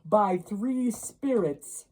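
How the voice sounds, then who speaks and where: A woman's voice: mid-range voice, animated, mellow, voice pushed up. A woman playing a mournful male ghost in a storybook reading, solemn and declamatory, drawing out grave warnings. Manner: slow, drawn-out, declamatory delivery.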